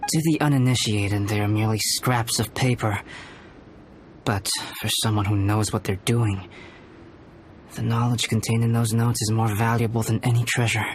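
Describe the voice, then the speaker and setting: An actor playing a calm, cerebral young male alchemist in a video game, speaking formally, precisely and with composure. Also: lowish voice, normal speed, a little hiss or hum, lightly acted. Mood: explanatory. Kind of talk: video game character acting. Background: background music.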